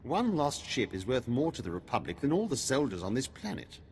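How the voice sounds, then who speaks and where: A man's voice: medium pitch, big pitch swings, fairly quick. A man playing a condescending, coldly confident villain in a video game, speaking formally and theatrically. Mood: cold, scornful. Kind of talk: video game cutscene acting. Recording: reverberant game audio, faint noise.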